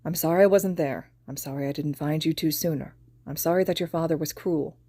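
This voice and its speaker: A woman voicing a gentle, earnest adult male hero, speaking softly in her own low voice. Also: a little fast, dry recording, studio quality. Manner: soft and earnest.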